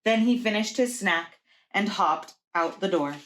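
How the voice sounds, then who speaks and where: Female preschool teacher, mid-pitched voice, reading a storybook aloud to young children. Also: measured pace, fairly bright tone.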